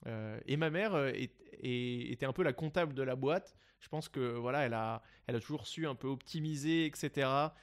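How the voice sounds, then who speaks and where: Creaky-voiced young French man. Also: mid-range voice, fairly quick, few pauses. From Seine-et-Marne, France. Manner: hesitant, many fillers and restarts. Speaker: guest investor. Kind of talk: casual interview chat.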